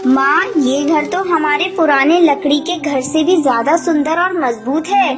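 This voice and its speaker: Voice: high voice, a little slow. A performer voicing a sweet, earnest young girl bird, a daughter in a children's cartoon. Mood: pleased. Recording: music under the voice.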